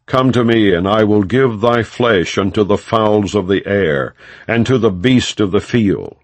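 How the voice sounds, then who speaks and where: Guy doing big scornful warrior taunting in a bible audiobook, low voice, declaiming, lots of swing. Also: lively intonation, measured pace, no room sound. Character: scornful giant male enemy warrior.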